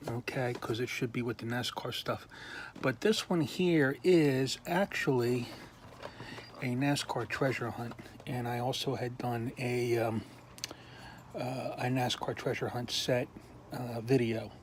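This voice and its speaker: A man slowly showing off his toy collection in a casual video, pausing often with ums and clearing his throat while losing his voice. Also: mid-range voice, slight background noise. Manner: slow, halting, many ums and uhs, frequent throat clearing. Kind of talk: casual show-and-tell.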